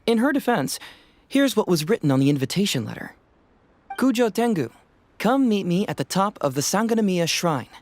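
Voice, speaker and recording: A man's voice: high voice, sing-song, brisk, a little mellow. A man voicing a breezy, self-assured young detective in a video game, with a playful, knowing and teasing manner. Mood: wry, amused. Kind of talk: video game cutscene dialogue. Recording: music under the voice.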